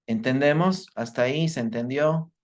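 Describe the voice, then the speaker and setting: A man's voice: mid-range voice, moderate pace. A man giving a medical lecture, explaining step by step and checking that his students follow. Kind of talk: live online workshop lecture.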